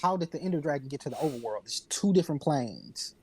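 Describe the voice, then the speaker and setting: A man's voice: a little high, expressive, quick pace, darkish tone, breathy edge. A man on a casual panel podcast, explaining video game lore earnestly and at length.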